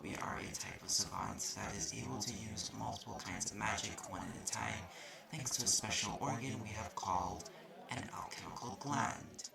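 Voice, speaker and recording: A man's voice: lowish voice, normal speed, vocal fry, reverberant, crowd noise. A man playing a haughty, slightly mean magic-university student in an audio roleplay, explaining things in a curt, condescending way. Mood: haughty, explanatory. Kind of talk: audio roleplay acting addressed to the listener. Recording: noisy recording with clicks.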